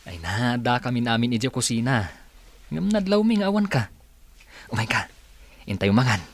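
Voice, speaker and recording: A man's voice: mid-range voice, lively intonation, moderate pace. A man playing an eager, cheerful young grandson in an Ilocano comedy radio drama, with an Ilocano accent. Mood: cheerful, excited.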